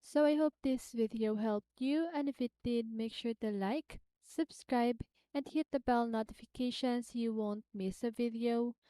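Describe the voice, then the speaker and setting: A woman's voice: fairly high pitch, steady tone, moderate pace, warm tone, smooth, dry recording. A woman narrating a casual how-to tutorial, explaining things simply step by step and repeating phrases as she goes. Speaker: tutorial host.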